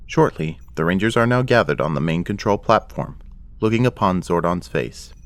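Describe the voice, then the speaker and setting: Male narrator, very low pitch, scripted drama narration, dry sound. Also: animated, normal speed, dry recording.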